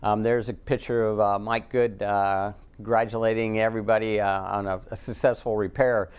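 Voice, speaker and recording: A man with an American accent answering interview questions as an astronaut guest, halting often with ums as he thinks. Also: on the low side, flat delivery, clean tone.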